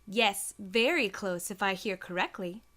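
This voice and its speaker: A woman's voice: mid-range voice, a little slow, a bit bright, natural voice. A woman voicing a sly, teasing female pony with a knowing manner in a dramatised story reading. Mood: playful teasing. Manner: playfully knowing.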